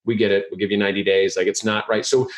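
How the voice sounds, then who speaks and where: A middle-aged man hosting a podcast, chatting casually and joking, rambling with quick restarts. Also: on the low side, level tone. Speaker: podcast host. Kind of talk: casual interview chat.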